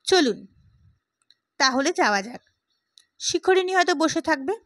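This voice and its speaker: Audiobook role: opinionated idealist gentleman played by a woman, high-pitched, emphatic speechmaker, dry sound. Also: lively intonation, unhurried, no room sound. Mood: brisk. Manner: oratorical, emphatic. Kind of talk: dramatised audiobook character.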